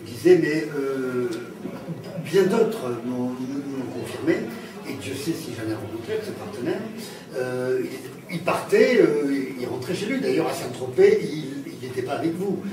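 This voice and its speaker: A man's voice: mid-range voice, lively intonation, normal speed, a loud crowd. A man recalling an actor at a panel in a classroom, talking in a hesitant way with many fillers and restarts. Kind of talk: anecdotal conversation. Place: classroom with chalkboard, indoors. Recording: echoey room, distant mic, audience noise.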